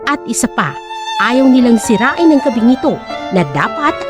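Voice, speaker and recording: A woman's voice: sits high, animated, normal speed, over music. A woman narrator reading a scripted dramatic story.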